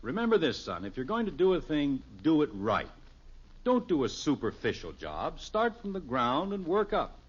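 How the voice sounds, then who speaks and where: A man's voice: on the high side, huge pitch swings, moderate pace, slightly rough, exaggerated voice. An actor playing a cheerful, self-assured middle-aged American father in a 1950s radio sitcom, lecturing in a folksy way. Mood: instructive. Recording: muffled old radio recording.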